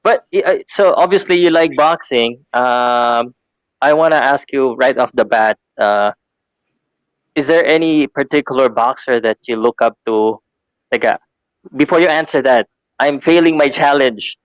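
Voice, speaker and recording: Hesitant Filipino host, dry dull sound. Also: male voice, medium pitch, a little slow, clear, resonant tone, no room sound. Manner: hesitant, many restarts, friendly. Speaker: podcast host. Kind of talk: casual interview, mixing in phrases from another language.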